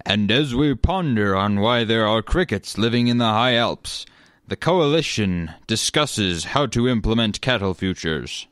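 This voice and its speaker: A man's voice: on the low side, expressive, unhurried, a bit bright, clear, resonant tone, dry recording. A man giving scripted story narration for a comedy radio play.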